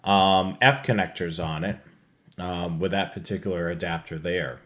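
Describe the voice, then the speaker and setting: A man's voice: low-pitched, normal speed, fairly bright tone. A man hosting a live show, presenting products in a casual, conversational walkthrough. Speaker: show host presenting slides.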